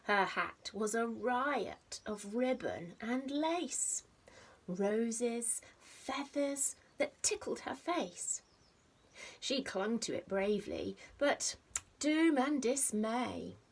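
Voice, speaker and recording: Breathy woman reading a storybook, very animated. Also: a little high. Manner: expressive, dramatic pauses, stresses rhymes and sound words; simple explanatory asides addressed to young children. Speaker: teacher reading a picture book to children. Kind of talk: animated storybook read-aloud.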